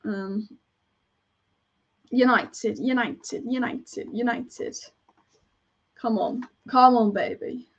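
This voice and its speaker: A woman with a fairly high voice rambles through a live match as a devoted fan, chatting, restarting her thoughts and reacting to each move. The audio is dull and lo-fi, like a home stream. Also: extremely slow, long pauses, husky. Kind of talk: live match watch-along commentary.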